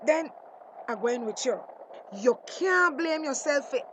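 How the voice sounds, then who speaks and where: An actress playing a loyal, steady, deferential woman in a screen drama, soothing and reassuring, with a Belizean accent. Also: sits high, a little slow. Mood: consoling. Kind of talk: acted screen drama dialogue. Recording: noisy background ambience.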